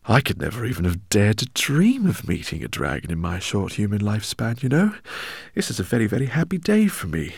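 A man voicing an excitable, eccentric older male professor who speaks in effusive, fussy exclamations. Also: lowish voice, fairly quick, creaky, breathy edge, no echo, clean studio sound, voice pushed up, heavily acted. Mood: modest, awed. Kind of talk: audiobook character reading.

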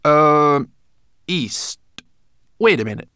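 Guy doing a brash little furry girl creature, high voice, loud and grumbly, storybook character. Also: expressive, extremely slow, clear, resonant tone, no echo, exaggerated voice. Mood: self-important. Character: brash, excitable furry female creature, bossy companion.

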